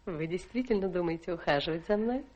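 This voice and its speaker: A woman's voice: on the low side, expressive, fast, a little mellow, dull audio, natural voice. Coquettish, self-assured young society lady played by an actress. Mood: coy. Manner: coquettish, mocking, affected. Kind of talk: stage-trained radio play acting.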